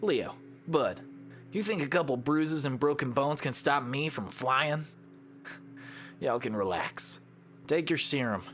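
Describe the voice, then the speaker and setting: A man's voice: mid-range voice, animated, takes it slowly, long pauses, fairly bright tone, soft background music. A man playing a cocky, flirtatious, easygoing starship pilot in an audio drama, playful and folksy. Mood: teasing. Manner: playful, drawling terms of endearment, folksy slang.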